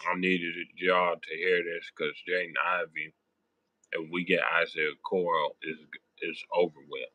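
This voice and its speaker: A young man with a sick, hoarse, raspy voice, rambling through casual sports talk with lots of ums and slang. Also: flat delivery, unhurried, bright, forceful tone, dry recording, dull audio. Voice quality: deep raspy voice. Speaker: sports podcast host.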